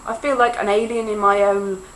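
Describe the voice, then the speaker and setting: A woman from Wales talks to camera in a loose, wandering monologue, poking fun at herself with jokey asides. Her pitch sits mid-range for a woman, and a faint hiss of background noise runs under her voice. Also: little pitch movement, moderate pace, low-quality recording. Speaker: vlogger. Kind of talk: rambling casual monologue.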